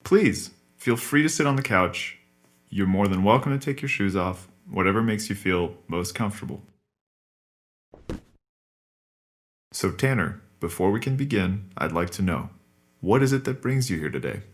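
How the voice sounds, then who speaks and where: Formal, patient therapist for podcast drama, played by a man: low register, measured and explanatory. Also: lively intonation, slight background noise, close to an everyday voice. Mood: patient, probing. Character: calm, courteous male psychotherapist, patient and formal. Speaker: actor in a scripted podcast drama. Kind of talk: naturalistic audio drama acting.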